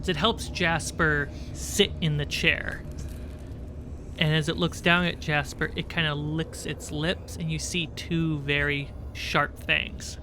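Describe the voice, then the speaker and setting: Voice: mid-range voice, fairly even intonation, slow pace, background noise. A game master narrating and refereeing a livestreamed tabletop game in an informal, suspenseful storytelling way. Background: music.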